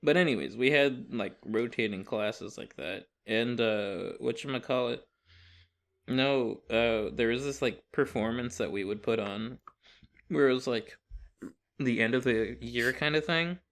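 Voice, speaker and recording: A man's voice: medium pitch, a little slow, a bit bright. Young guy on a podcast, joking around, swears a lot, rambles through stories. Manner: joking, profane, rambling storyteller. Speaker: podcast co-host. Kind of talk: casual comedic chat.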